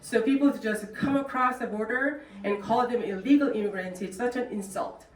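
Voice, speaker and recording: A woman's voice: on the high side, a little fast. Middle-aged Korean-accented woman, guest speaker, earnest and plain-spoken, heard from a distance in a roomy hall. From South Korea. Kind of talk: speech at a club meeting. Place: meeting room. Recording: echoey room, distant mic, faint noise.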